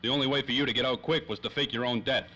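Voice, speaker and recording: A man plays a hard-boiled radio-play detective with a bright, forceful voice. He talks fast and clipped, a wary wisecracker who wants straight answers. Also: mid-range voice, fairly even intonation, dull audio, a little hiss or hum, natural voice. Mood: probing. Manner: clipped, wisecracking tough-guy talk. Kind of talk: 1930s old-time radio drama acting.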